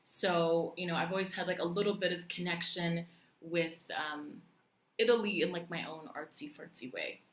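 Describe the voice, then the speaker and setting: A woman from Puerto Rico vlogging in a chatty, animated way, with lots of fillers and restarts. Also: lowish voice, moderate pace, slight background noise. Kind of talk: casual talk to camera. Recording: echoey room.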